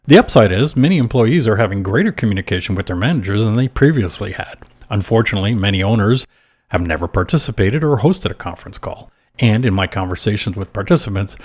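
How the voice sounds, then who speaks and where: A man with a fairly low voice narrates scripted advice as a podcast host. His delivery is measured and instructional, laying out each point in order.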